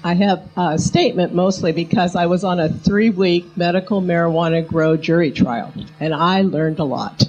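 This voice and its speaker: Female audience speaker, dark mellow tone, dull lo-fi recording, making a personal statement. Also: on the low side, moderate pace, slight background noise. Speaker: audience member giving a statement.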